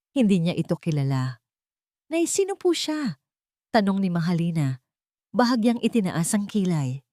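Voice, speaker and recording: A woman's voice: fairly low pitch, warm tone, no room sound. Female narrator, dramatic scripted storytelling, very varied intonation, dry close sound.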